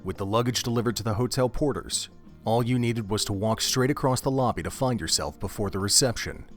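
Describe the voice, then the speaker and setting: A man narrating an audio drama in a steady, descriptive storytelling manner. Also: fairly low pitch, a little fast, faint music behind.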